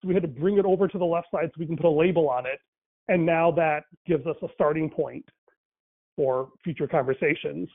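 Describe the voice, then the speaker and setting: A man's voice: fairly high pitch, fairly quick, vocal fry. A man whose first language is Tamil talks casually about faith and language, thinking aloud with many fillers and restarts. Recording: tinny phone-like line, dry.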